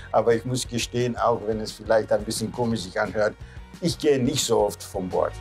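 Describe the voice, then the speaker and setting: A man's voice: medium pitch, darkish tone. Older Greek-accented man reminiscing, music behind. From Greece. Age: 60s. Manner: non-native German with loose grammar, often ending on a questioning "ja". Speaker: cruise ship captain. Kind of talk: casual reminiscing in an interview. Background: background music.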